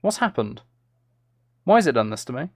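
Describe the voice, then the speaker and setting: A man's voice: medium pitch, sing-song, fairly quick. A man streaming casually while making music, thinking aloud to himself and often trailing off mid-thought. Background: synth and drum loops from music software, clicking.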